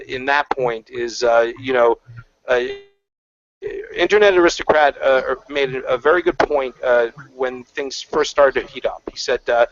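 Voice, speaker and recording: A man talks in a loose, rambling way, full of fillers and restarts. His voice sits in a mid pitch. The sound is muffled, lo-fi online call audio. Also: a little hiss or hum. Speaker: panel guest. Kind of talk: casual online panel chat. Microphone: video call.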